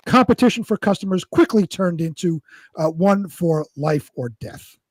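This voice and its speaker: A man with a fairly high voice narrates as co-host of a live show. His delivery is unpolished and somewhat halting, and the sound is very dry with no room to it. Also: no echo. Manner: halting, repeats words when ad-libbing. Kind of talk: amateur scripted narration and casual chat.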